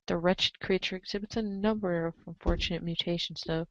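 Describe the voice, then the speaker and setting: A woman narrating a comic story aloud in an expressive read-aloud style, on a slightly dull recording. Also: fairly low pitch, fairly quick. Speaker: narrator of a group webcomic reading.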